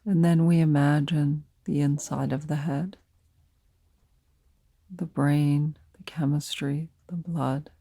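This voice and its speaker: A woman leading a guided meditation as a teacher, speaking softly and soothingly in short, unhurried phrases with pauses between them. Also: low voice, little pitch movement, husky, no room sound, studio quality.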